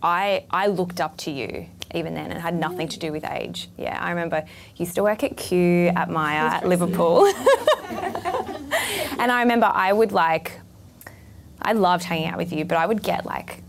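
A woman's voice: fairly low pitch, moderate pace, gravelly, slight background noise. A woman, chatting animatedly with an old friend and asking her questions, with many fillers. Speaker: interviewer. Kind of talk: casual conversation. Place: event venue.

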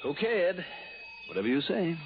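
An American actor playing a wry, smooth insurance investigator and narrating in clipped hard-boiled fashion in a 1960s radio drama. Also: male voice, high voice, very animated, moderate pace. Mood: brisk, easygoing. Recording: muffled old radio broadcast recording, music behind.

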